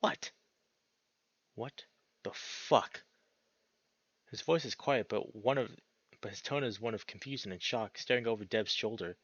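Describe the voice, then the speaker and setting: A man reading a visual novel aloud as expressive narration, breaking off into casual, sweary, slangy reactions. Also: fairly low pitch, fairly quick, dry recording, muffled recording. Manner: animated, sweary, slangy interjections.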